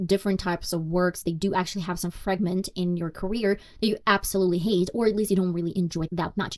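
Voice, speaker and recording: A young woman from Russia speaks English with a Russian accent, Russian being her native tongue. Her voice sits a little low. She talks openly and reflectively, now and then poking fun at herself. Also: darkish tone. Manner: candid, reflective, self-deprecating. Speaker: podcast host. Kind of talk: solo podcast monologue.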